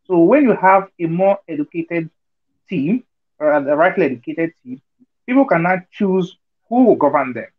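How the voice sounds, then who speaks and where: Earnest man, high voice, lo-fi call. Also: lively intonation, normal speed. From Nigeria. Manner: earnest, argumentative, many fillers and rhetorical checks. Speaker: discussion participant. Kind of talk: online group discussion. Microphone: video call.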